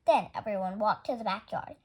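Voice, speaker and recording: A woman reading a children's storybook aloud as the storytime narrator, in an animated style. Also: mid-range voice, a little fast, rough recording. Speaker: storytime reader.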